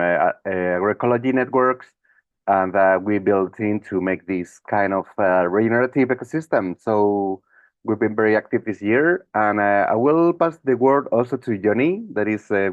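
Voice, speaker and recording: A man with a lowish voice comes through narrow, phone-like call audio that is dry and close. He speaks politely and hesitantly, filling his pauses with sounds. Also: dry recording. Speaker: cooperative representative. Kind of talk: online webinar introduction.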